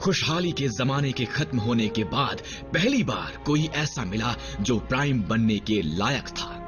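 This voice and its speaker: A man playing a grave veteran robot soldier in a dubbed cartoon, telling war history in a measured, solemn way. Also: mid-range voice, expressive, close to an everyday voice. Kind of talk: dubbed animated series dialogue and narration. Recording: muffled sound with loud dramatic music.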